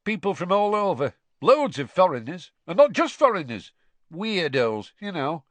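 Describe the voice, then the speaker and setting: A blunt older campsite keeper, gruff and loud, who eyes everyone with suspicion, as a man puts him on in a storybook reading. His voice swings up and down as he talks. Recorded dry and close. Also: high voice, very animated, normal speed, dry recording, voice pushed up. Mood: suspicious chatty.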